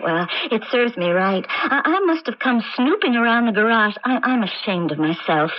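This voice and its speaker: An actress playing an affectionate, flustered, loyal wife in a 1980s radio drama. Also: female voice, on the high side, animated, moderate pace, close to an everyday voice. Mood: contrite, loyal. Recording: muffled, noisy old radio broadcast recording.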